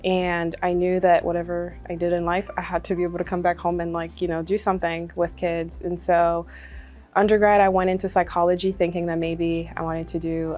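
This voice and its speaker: A woman's voice: fairly low pitch, darkish tone. A woman in her twenties from Congo, a medical student, talking casually with many fillers and frequent laughs. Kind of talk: testimonial interview. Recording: faint music behind.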